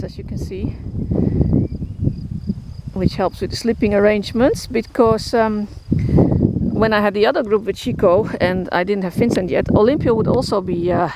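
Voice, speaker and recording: Dutch woman rambling outdoors in a field. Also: medium pitch. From Netherlands. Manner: rambling, many fillers and restarts. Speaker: horse shelter keeper. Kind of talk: casual vlog walkthrough. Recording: outdoor noise, crickets behind.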